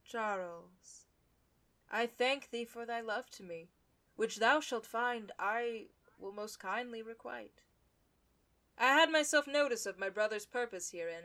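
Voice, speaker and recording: A scheming young nobleman, acted by a woman in a Shakespearean radio drama. The voice is forceful and bright, pitched on the high side, with an insinuating, deliberate theatrical manner full of envy. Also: close to an everyday voice. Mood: smooth, feigned politeness. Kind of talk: Shakespearean radio play acting.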